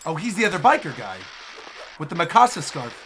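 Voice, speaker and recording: A woman hosting casual, animated reaction commentary on game trailers. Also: on the low side, a little fast, a little hiss or hum.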